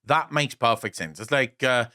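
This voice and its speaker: A man's voice: mid-range voice, expressive, moderate pace, sharp tone, smooth. A man whose first language is Afrikaans, co-hosting a gaming podcast in casual, blunt, profane chat. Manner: blunt, profane, opinionated ranting. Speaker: podcast co-host.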